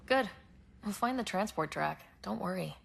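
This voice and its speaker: Young woman explorer leader, calm and reassuring. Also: mid-range voice, moderate pace, vocal fry, a little hiss or hum. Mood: steady. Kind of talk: video game dialogue.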